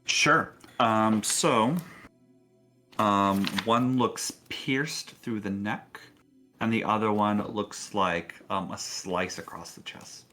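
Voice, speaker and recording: A man serves as game master for a tabletop session. He improvises scenes in a casual, halting manner, talking directly to his players. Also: fairly low pitch, bright, forceful tone, faint music behind.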